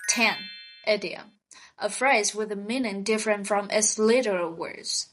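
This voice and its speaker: Woman reading out vocab words nice and slow, clear teacher delivery. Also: mid-range voice, background noise. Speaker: podcast co-host. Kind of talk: scripted vocabulary lesson.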